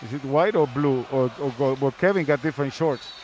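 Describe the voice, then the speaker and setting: A man's voice: medium pitch, a little fast, a little mellow, poor audio. A man who is a native Spanish speaker and a sports broadcaster, chatting casually and telling wry stories. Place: sports arena. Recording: faint arena noise.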